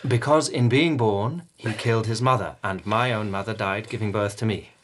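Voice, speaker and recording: An actor playing a sardonic, menacing lord of a Japanese court in a radio drama, with formal, probing speech. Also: male voice, on the low side, moderate pace, natural voice. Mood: intense, musing. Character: self-possessed, menacing Japanese palace lord with sardonic temper.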